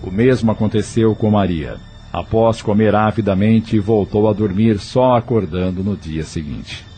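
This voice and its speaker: Low-voiced man narrating. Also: unhurried, warm tone. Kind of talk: scripted audio novel narration. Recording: faint music behind.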